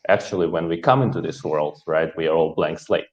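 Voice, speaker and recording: A man's voice: sits low, fairly even intonation, fairly quick. A man from Kazakhstan, a guest talking over a video call in a hesitant, philosophical way. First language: Russian. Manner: hesitant, many fillers, philosophical. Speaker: guest speaker. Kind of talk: casual talk. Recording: dull lo-fi call audio.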